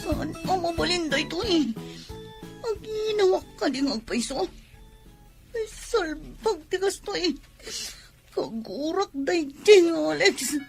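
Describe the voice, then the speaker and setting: An actress playing a petulant, resentful young pregnant wife in a radio drama, whining with a Filipino Ilocano accent. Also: female voice, very high voice, lively intonation, slow pace, gravelly, soft background music, heavily acted. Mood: tearful, whining. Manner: whining, complaining.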